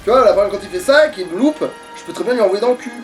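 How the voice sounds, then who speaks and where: A man with a slightly high voice rattles through casual gaming commentary. He grumbles and swears freely, sitting a little away from the mic. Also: lively intonation, very fast, soft background music. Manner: foul-mouthed, grumbling. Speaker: let's player. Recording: distant mic, faint background noise.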